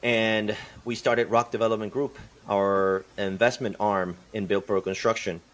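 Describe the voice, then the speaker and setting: A man from Peru, answering interview questions as a podcast guest in a thoughtful way, pausing and restarting often. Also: lowish voice, fairly even intonation. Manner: thoughtful, many fillers and restarts. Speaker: podcast guest, construction company partner.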